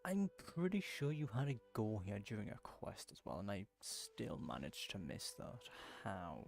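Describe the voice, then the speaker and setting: A man's voice: on the low side, wildly swooping pitch, normal speed, gravelly, a little mellow, soft background music. A man giving casual gameplay commentary, muttering at himself in exasperation. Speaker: gameplay streamer.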